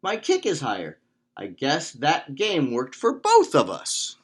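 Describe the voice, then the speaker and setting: A man's voice: on the high side, wildly swooping pitch, unhurried. A man voicing a serious, determined young girl student in a children's storybook, in an earnest manner. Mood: pleased. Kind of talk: children's storybook read-aloud.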